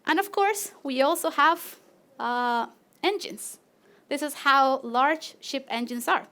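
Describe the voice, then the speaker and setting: Woman, 30s, Latin American Spanish accent, high voice, friendly animated presenter. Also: slow. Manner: friendly and animated, with small fillers and restarts. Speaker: PhD researcher presenting. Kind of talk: live PechaKucha talk to an audience.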